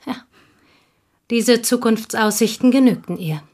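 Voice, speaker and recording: An actress playing a sober provincial woman, a devoted childhood confidante, in a German radio drama, speaking in a measured, wistful way. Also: on the high side, darkish tone. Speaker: actor in a radio play. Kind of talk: German radio drama acting, reflective storytelling.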